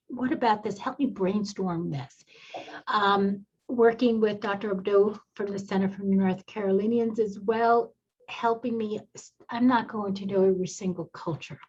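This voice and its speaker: A woman's voice: medium pitch, darkish tone, slightly rough, muffled recording. A woman from El Salvador, an educator speaking on a panel, giving earnest, emphatic advice to other teachers. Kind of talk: panel talk on a video call.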